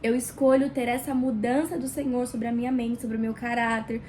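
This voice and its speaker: Brief: high-voiced Brazilian woman, book club host, nasal from a cold, chatty and direct, small kitchen with a faint hum behind. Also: little pitch movement. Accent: Brazilian Portuguese. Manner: warm, chatty, addresses viewer directly with pauses. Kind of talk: casual devotional reading vlog.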